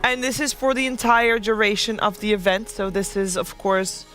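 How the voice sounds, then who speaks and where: Very high-voiced bright male analyst. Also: moderate pace, faint music behind. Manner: steers the panel, chatty. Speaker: esports analyst. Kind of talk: casual studio panel chat.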